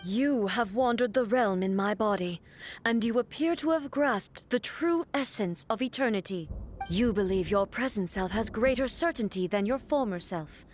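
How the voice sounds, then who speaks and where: A woman playing a solemn, regal warrior deity in a video game, speaking in a grave, formal, measured manner. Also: medium pitch, expressive, slight background noise, natural voice. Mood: stern, challenging. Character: solemn, regal female warrior deity and her stern puppet double. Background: game music.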